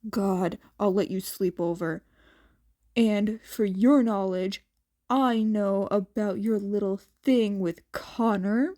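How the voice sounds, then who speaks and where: A woman's voice: mid-range voice, slow, warm tone, pitched below the performer's own voice, close to an everyday voice. A woman voicing a weary, teasing teenage older brother with a dry, casual manner in a story.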